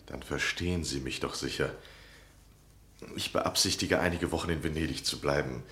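Deep-voiced, eager gentleman schemer. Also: moderate pace. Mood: earnest, persuasive. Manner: ingratiating, polite, effusive. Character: eager, scheming American man of letters, courteous and insistent. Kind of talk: 1960s German radio play acting.

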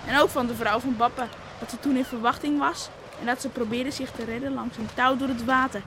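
A woman acts out an eager child of about eleven from a fishing island. The voice is high, insistent and ready to argue. It comes through a noisy old radio play recording. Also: steady tone, brisk, lightly acted. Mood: argumentative. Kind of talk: Dutch radio play acting.

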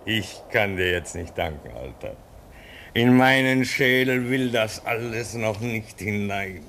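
An actor playing an earnest, shaken comrade at a polar station in a deliberate, theatrical German radio play. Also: male voice, lowish voice, animated, fairly bright tone, a little hiss or hum, exaggerated voice. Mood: moved, overwhelmed.

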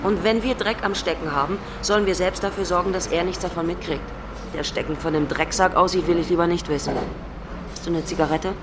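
Distant miking, clear street noise, actress as brisk female site boss, exasperated, blunt, sarcastic, radio drama. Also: fairly low pitch, fairly even intonation, close to an everyday voice. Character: brisk, exasperated woman in charge of building sites. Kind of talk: naturalistic German radio drama dialogue. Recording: noisy outdoor street, distant from mic.

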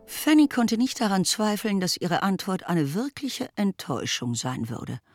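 Eine Frau erzählt als Sprecherin eines literarischen Hörspiels, getragen und ausdrucksvoll. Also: female voice, mid-range voice, very animated, measured pace, soft background music. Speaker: narrator. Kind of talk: scripted literary narration in a radio drama.

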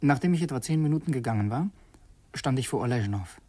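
An actor playing an earnest, brooding revolutionary who narrates in an intense, halting way in a radio play. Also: male voice, mid-range voice, lively intonation, mellow, lightly acted. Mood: reflective. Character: earnest, brooding male revolutionary narrating his secret errand. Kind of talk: radio play, first-person dramatic narration.

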